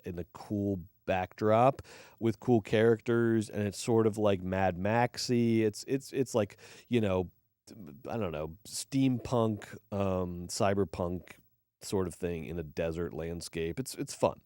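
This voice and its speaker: A man's voice: fairly low pitch, a little slow, warm tone, smooth, no echo, clean studio sound. A man co-hosting a movie podcast, chatting casually in a blunt, opinionated way with plenty of swearing. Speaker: podcast co-host reviewing films.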